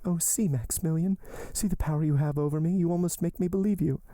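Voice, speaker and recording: A tearful young woman who pleads and implores, her voice lifted high with a soft, dark mellowness underneath, as a male audiobook reader puts her on. It is close and dry, with no room around it. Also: fairly even intonation, fast talker, dry recording, natural voice. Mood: imploring. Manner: trembling, imploring delivery. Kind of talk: audiobook character voice.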